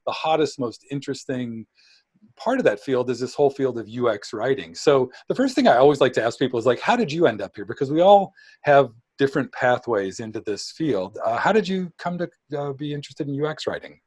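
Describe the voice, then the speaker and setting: A man's voice: mid-range voice, lively intonation, a little fast, a little mellow, no echo, clean studio sound. A man hosting a podcast, reading a scripted introduction and then interviewing his guest eagerly, often restarting his sentences. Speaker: podcast host.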